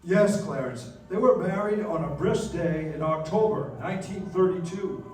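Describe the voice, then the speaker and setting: An actor playing a celestial senior angel narrating in a 1940s style radio play. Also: male voice, high-pitched, moderate pace, a little mellow, natural voice. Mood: patient, storytelling. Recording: echoey hall from far off, faint music.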